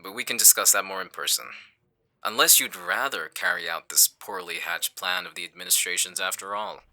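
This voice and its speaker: A man playing a calculating, menacing villain in an audio roleplay, with a smug, condescending, deliberate delivery. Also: sits low, very animated, vocal fry, bright, forceful tone, exaggerated voice. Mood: calm menace. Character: smooth-talking male villain, calculating, menacing leader. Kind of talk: audio roleplay acting on a phone call.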